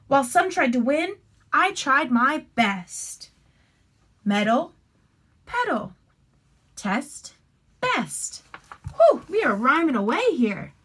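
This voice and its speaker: A woman reading a picture book aloud to young children like a teacher, with expressive, deliberate phrasing and friendly asides. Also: high voice.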